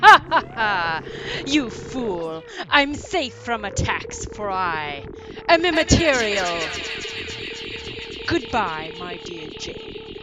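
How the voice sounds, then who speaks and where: A woman's voice: medium pitch, big pitch swings, slow, loud music behind the voice, low-quality recording. A woman playing a grandiose, mocking supervillain bent on conquest in a pulp audio drama. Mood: gloating.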